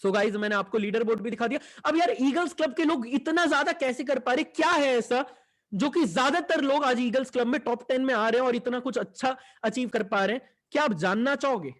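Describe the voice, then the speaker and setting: A young man, giving an enthusiastic sales pitch for an online business and mixing Hindi with English. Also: brisk. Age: 20s. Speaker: online business team leader.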